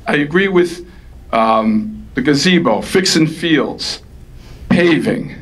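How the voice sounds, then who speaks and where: Rapid, rambling man at a council meeting. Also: a little high, animated, poor audio. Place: township council meeting room.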